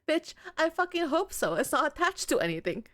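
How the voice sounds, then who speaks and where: A woman giving expressive, sweary commentary as she plays a horror game, reading some of the text aloud. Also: high voice, no room sound, studio quality. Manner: expressive, sweary, reacting out loud. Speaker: video game streamer.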